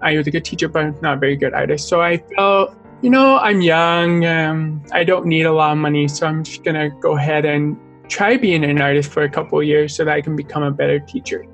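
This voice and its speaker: A man in his twenties with an accent shaped by deafness, answering interview questions in an earnest, deliberate way. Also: on the high side, fairly bright tone, soft background music. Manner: earnest, deliberate, starts many lines with "so". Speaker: artist and former teacher.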